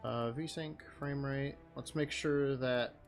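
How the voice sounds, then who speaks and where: A man's voice: mid-range voice, steady tone, takes it slowly, soft background music. A man live-streaming, chatting casually and rambling as he thinks aloud.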